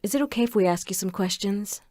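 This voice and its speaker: A woman's voice: mid-range voice, fast, warm tone, no echo, clean studio sound, lightly acted. A woman playing a composed, inquisitive police inspector in an audio crime drama.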